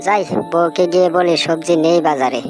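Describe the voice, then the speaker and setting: A woman's voice: on the low side, fairly quick, darkish tone, soft background music, close to an everyday voice. A woman voicing a naive, literal-minded male fox husband in a comic cartoon. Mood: sheepish.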